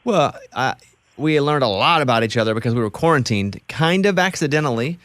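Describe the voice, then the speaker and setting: A man's voice: mid-range voice, animated, fairly quick, a bit bright, dry recording. A man hosting a radio show, chatting casually and enthusiastically with a guest, often restarting his sentences. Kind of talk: casual interview chat. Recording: dry close studio mic.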